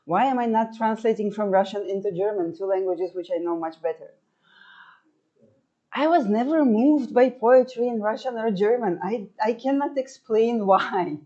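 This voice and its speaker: A woman speaking about poetry translation in a panel discussion, halting and repeating words, laughing between points. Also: mid-range voice, dark tone, highs cut off. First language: neither Hindi nor Hebrew. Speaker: guest lecturer and translator.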